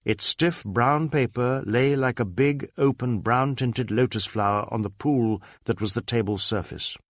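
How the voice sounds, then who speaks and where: A man narrating a detective novel as an audiobook, with measured, dramatic storytelling. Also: medium pitch, dry recording, clean studio sound.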